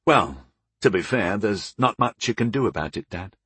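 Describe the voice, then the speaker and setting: A man voicing a young adult wizard son in an audiobook, earnest and questioning, quick to be startled or indignant. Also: medium pitch, wildly swooping pitch, a bit bright, slightly rough, dry recording, full character voice. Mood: concerned. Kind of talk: audiobook character dialogue.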